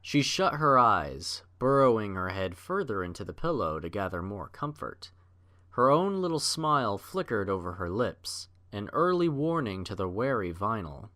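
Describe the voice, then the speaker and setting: A man narrating a scripted story as an audiobook reader, in measured literary storytelling. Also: on the low side, sing-song, pushed and bright.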